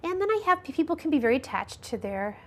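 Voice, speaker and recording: Higher-pitched woman, hesitant explaining. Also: big pitch swings, quick pace, fairly bright tone. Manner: explanatory, hesitant with frequent ums and restarts. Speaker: nurse practitioner guest. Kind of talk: interview answers on local cable health show.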